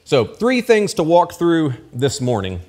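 A man in his thirties, a pastor giving a sermon from a church pulpit. He explains the text in a relaxed, conversational way, with asides and quick checks that the congregation is following. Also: fairly high pitch. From United States. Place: church stage with pulpit, indoors. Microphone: lectern mic at pulpit.

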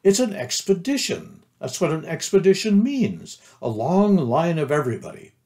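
Storybook little boy, played by a man: lifted pitch, breezy, sure of himself, mildly bossy. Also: very animated, normal speed, a little mellow. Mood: breezy, assured. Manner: breezy, explaining.

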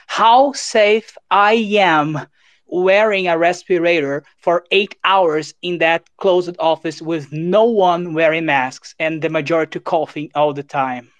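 A man's voice: on the high side, takes it slowly, a bit bright, smooth, no room sound. A man with a Portuguese accent, a native Portuguese speaker, politely asking a question as an audience caller. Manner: polite, careful phrasing. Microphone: remote online audio call.

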